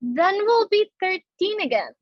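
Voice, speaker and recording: A woman's voice: very high-pitched, very animated, unhurried, no room sound, heavily acted. A woman playing a naive, sweet young society daughter in a stage comedy reading, girlish and ingenuous, in slightly muffled audio. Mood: worried.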